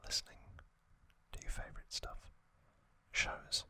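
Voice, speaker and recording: A man narrating in a breathy ASMR whisper, gently and in short phrases with long pauses. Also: no echo. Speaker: ASMR video host.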